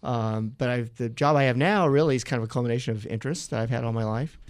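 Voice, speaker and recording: Quick talking guy from san diego, guest on a radio show, dry studio mic, bit of dry humor. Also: medium pitch, clear, resonant tone, no room sound. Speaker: museum director, studio guest. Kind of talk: radio interview answers.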